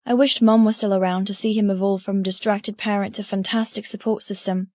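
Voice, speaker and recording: A woman's voice: medium pitch, steady tone, fairly quick, darkish tone, dry recording, highs cut off. A woman reading first-person dramatic fiction as an audiobook narrator.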